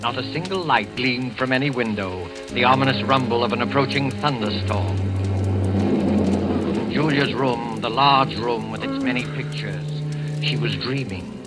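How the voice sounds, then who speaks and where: An actor playing the ominous narrator of a vintage radio mystery, telling the tale in a measured, dramatic manner. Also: male voice, mid-range voice, natural voice. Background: loud dramatic music.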